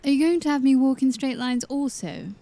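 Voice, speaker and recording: Woman as a sharp-tongued young princess, high voice, cheeky, fantasy audio drama. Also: natural voice. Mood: sarcastic. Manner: quick-witted, cheeky.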